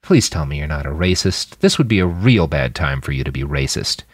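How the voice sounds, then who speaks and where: A man's voice: rumbling low, sing-song, brisk, husky, dry recording, clean studio sound, heavily acted. A man voicing a casual, battle-hardened fighter in a dystopian audiobook, with blunt, laconic, slangy speech. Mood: dry.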